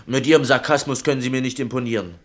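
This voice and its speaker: A man's voice: medium pitch, steady tone, fast, close to an everyday voice. An actor playing an earnest, indignant young man in a German radio drama, direct and challenging.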